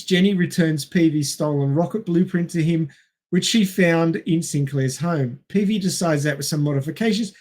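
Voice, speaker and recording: A man's voice: on the high side, darkish tone. A man co-hosting a film podcast, reading narration and chatting casually with wry, dryly joking asides. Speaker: podcast co-host.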